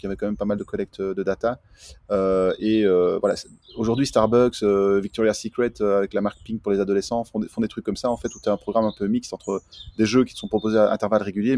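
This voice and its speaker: Fast-talking low-voiced man in his forties. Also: warm tone, no room sound, clean studio sound. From Belgium. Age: 40s. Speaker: podcast guest, entrepreneur. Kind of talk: casual interview talk. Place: outdoors, remote connection.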